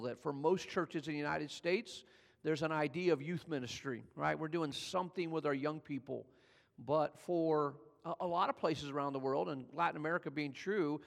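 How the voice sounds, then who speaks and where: An older pastor from California preaching a Sunday sermon. His voice sits a little high and has a gravelly fry to it. His words ring out with a long tail of reverb from the hall. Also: a little fast. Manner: conversational preaching, builds in pitch and volume as he tells the story.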